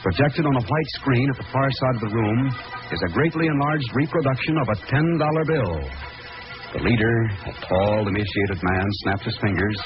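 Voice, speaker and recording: A man's voice: medium pitch, moderate pace. A man giving dramatic scripted narration in 1940s radio style. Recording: muffled old radio recording. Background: music.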